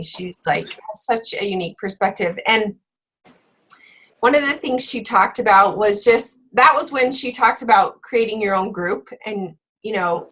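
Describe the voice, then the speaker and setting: Hoarse woman chatting casually. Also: medium pitch, fairly bright tone, rough recording. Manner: chatty, with frequent fillers and laughs. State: hoarse from being sick. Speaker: fitness coach and book club host. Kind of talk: casual book club chat.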